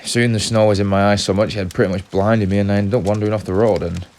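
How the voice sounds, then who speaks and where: An actor playing a frightened, exhausted male traveller in an audio drama, speaking in a halting, apologetic stammer. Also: on the low side, steady tone, darkish tone, close to an everyday voice. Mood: uneasy, weary. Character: frightened exhausted male traveller seeking shelter, polite and shaken.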